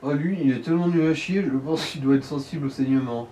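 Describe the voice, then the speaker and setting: A man's voice: on the high side, fast, mellow, far from the mic, a little hiss or hum, rough recording. A man chatting casually as co-commentator on a video game, giving colloquial advice to the player. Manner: colloquial, advising. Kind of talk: casual gaming chat.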